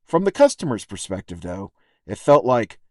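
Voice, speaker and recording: A man reads a scripted audio essay in a wry, plain-spoken, colloquial way, setting off short phrases with deliberate pauses. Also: on the low side, very animated, darkish tone, dry recording, clean studio sound. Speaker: podcast writer and host.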